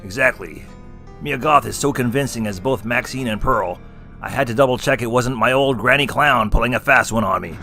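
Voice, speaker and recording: Guy playing a wisecracking clown host, bright tense voice with some grit, faint music behind. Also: medium pitch, a little fast, creaky. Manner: punny, teasing. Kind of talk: scripted comedic review banter.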